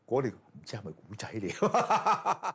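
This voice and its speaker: A man's voice: medium pitch, huge pitch swings, a little slow, a bit bright, husky. A man telling anecdotes casually as an interviewee.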